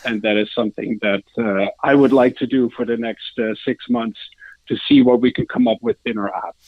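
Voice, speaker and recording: A man with a Dutch accent talks as an interview guest in sales. His delivery is halting and informal, at an average male pitch. The recording is dry and somewhat dull, like a band-limited call. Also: fairly even intonation, no echo. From Netherlands.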